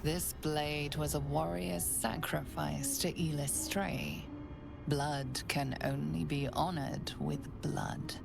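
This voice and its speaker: A woman playing a grave, ominous fantasy narrator in a video game, speaking in a deliberate, solemn way. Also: sits low, fairly even intonation, exaggerated voice. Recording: game narration over clear music.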